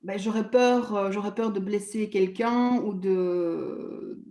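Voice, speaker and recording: A woman's voice: mid-range voice, warm tone, echoey room. A woman speaking hesitantly in a therapy session, with frequent halts and self-conscious laughter. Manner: hesitant, halting, laughs at herself. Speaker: therapy client. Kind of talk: personal conversation.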